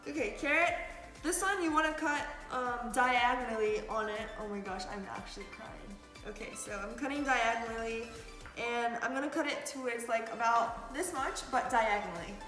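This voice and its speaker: A woman's voice: a little high, normal speed, sharp tone. A woman talking casually through a cooking tutorial in a kitchen, chatty and loose with lots of fillers. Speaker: cooking vlogger. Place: home kitchen. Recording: echoey room with background music.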